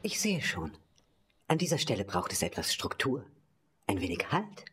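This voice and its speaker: A performer playing a personified town as a musing, poetic narrator in an experimental radio play, speaking in halting, theatrical fragments. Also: low voice, very animated, background noise. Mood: musing, explanatory.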